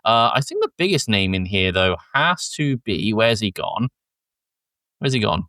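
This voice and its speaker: A man's voice: fairly low pitch, big pitch swings, a little slow, pushed and bright, dry recording, clean studio sound. A man hosting a podcast with casual film discussion while a bit sick. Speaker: podcast host.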